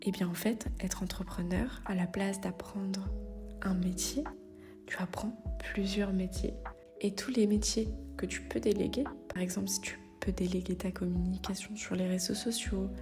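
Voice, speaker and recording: A woman's voice: medium pitch, fairly even intonation, moderate pace, music in the background. A woman hosting a motivational podcast, speaking straight to the listener in a familiar, direct way with the odd hesitation. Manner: direct, addresses listener informally, occasional hesitations. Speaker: podcast host. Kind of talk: motivational solo podcast talk.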